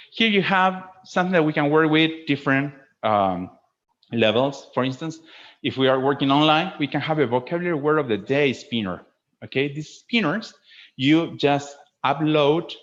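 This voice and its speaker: A man's voice: medium pitch, fairly bright tone. Man presenting a lesson through dull audio. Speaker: teacher trainer presenting a webinar. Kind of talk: informal instructional presentation with acted demonstrations.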